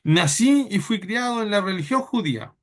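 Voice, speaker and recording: A man from Chile, preaching a sermon in church in an earnest, halting way with many repeated words. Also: sits high, animated.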